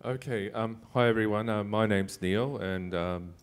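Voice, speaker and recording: A man in his fifties introducing himself on a panel, hesitant, with many pauses and fillers. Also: on the low side, smooth. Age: 50s. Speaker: panelist, consumer consultant. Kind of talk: panel discussion answers.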